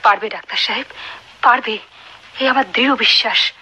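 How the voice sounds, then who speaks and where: High-pitched, pleading rich wife. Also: female voice, normal speed, sharp tone, slightly rough. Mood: desperate pleading. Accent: Bangladeshi. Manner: imploring, insistent. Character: desperate, pleading wealthy wife longing for a child. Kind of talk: radio drama acting. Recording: dull, noisy old radio recording.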